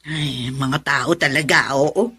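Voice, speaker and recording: A woman playing a feisty older Filipino auntie in a radio drama, fussy, exclamatory and quick to scold. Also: rumbling low, a bit bright, slightly rough, exaggerated voice. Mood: indignant.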